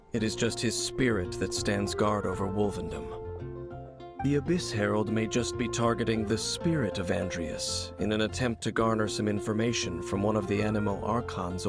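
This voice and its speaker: A man voicing an aloof, guarded warrior in a video game, speaking in a grave, measured and formal manner. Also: on the low side, creaky. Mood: grave, analytical.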